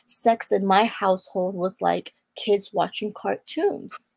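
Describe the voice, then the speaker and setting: Young woman guest sharing her testimony, gravelly low-key voice, preachy earnest tone, dry close sound. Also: steady tone, takes it slowly, no room sound. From Atlanta, Georgia. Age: young adult. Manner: earnest, preaching tone with scripture quotes. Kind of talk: personal testimony in a faith interview.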